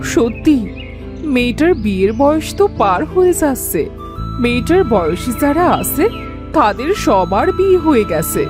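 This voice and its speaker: A woman's voice: high-pitched, sing-song, measured pace, music in the background. A woman voicing an anxious village mother and wife in a cartoon, fretful and plaintive. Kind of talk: Bengali cartoon voice acting.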